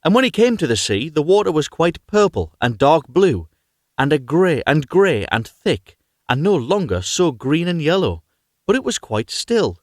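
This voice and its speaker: A man reading a fairy tale aloud live as an expressive storyteller. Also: on the high side, moderate pace, no room sound, studio quality. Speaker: storyteller, reader.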